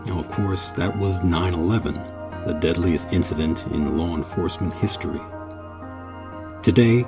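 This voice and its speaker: A man hosting and narrating a scripted history documentary in a measured, solemn manner. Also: low-pitched, creaky. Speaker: host and narrator.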